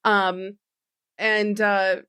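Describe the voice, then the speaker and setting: A woman with a mid-pitched voice plays a tabletop roleplaying game. She talks casually and a bit tentatively, reasoning out her choices as she goes. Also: little pitch movement, very slow, pushed and bright, clear, resonant tone.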